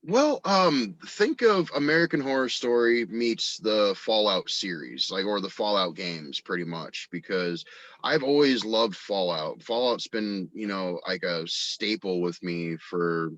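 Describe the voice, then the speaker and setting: A man's voice: lowish voice, lively intonation, measured pace, a bit bright, no echo, highs cut off. A man chatting casually as an interview guest, rambling enthusiastically about his creative work. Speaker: guest, audio drama writer and voice actor.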